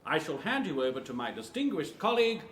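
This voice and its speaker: A smooth tour guide in a radio drama, officious and flowery. His voice is fairly high and swoops in a lively way, over faint background noise. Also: fast. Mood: grand, declaiming. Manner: formal, flowery showman's announcing. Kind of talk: British radio drama acting.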